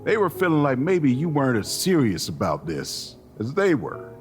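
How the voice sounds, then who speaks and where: Folksy, lively-voiced male confidant. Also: mid-range voice, moderate pace. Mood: reassuring, frank. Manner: folksy, gently coaxing, reassuring. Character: folksy, warm-hearted older mentor friend, gently teasing. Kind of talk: audio drama acting. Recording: music underneath.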